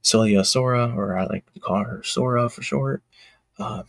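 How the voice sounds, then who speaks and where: A man giving casual behind-the-scenes commentary as an indie creator, talking in a halting, rambling, enthusiastic way. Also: medium pitch, no echo, clean studio sound. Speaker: indie comic creator and video host.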